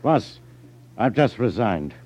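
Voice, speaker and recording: A man's voice: lowish voice, very animated, slow pace, a little mellow, heavily acted. An American actor playing an insolent hired gunman in a 1950s radio drama, dry and laconic. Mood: dry, resigned. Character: insolent hired gunman quitting his crooked boss. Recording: muffled 1950s radio broadcast recording.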